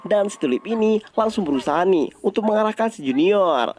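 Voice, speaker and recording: A man's voice: high voice, animated, fairly quick, a little mellow, a little hiss or hum. A man with an Indonesian accent narrating a story recap in a casual, colloquial storytelling style.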